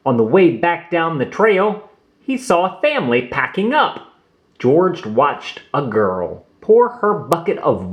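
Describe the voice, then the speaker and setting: A man narrating a children's picture book, reading aloud expressively with theatrical emphasis for young listeners. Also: medium pitch.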